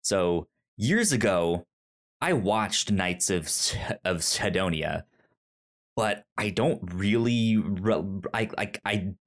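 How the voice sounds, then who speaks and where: Halting, casual male podcast host. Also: low-pitched, expressive. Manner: halting, stammering over words. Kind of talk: casual chat about manga.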